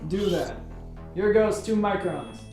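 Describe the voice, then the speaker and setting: A man's voice: high voice, animated, takes it slowly, a little mellow. A man playing a careful, stern senior pathologist in a radio play. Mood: intent, clinical. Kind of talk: amateur radio play acting. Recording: echoey room, music behind.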